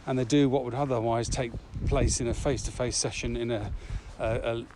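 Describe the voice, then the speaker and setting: A man with a mid-pitched voice chats casually as a podcast co-host, explaining and asking questions in a measured way. He is outdoors, with clearly audible background noise. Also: fairly even intonation. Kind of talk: casual interview-like conversation. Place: outdoors, walking.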